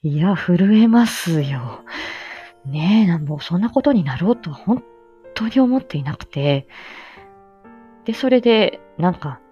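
Effusive, low-voiced woman chatting on a livestream. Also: lively intonation, unhurried, long pauses, dark tone, breathy edge, highs cut off, faint music behind. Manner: effusive, humble, often laughing mid-talk. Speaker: livestream host and amateur voice actor. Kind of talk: casual solo livestream chat to listeners.

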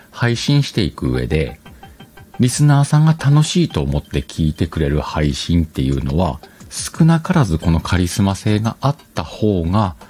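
A man's voice: fairly low pitch, warm tone, slightly rough. A man hosting a casual advice talk, explaining conversationally and questioning his own phrasing as he thinks aloud. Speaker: podcast host.

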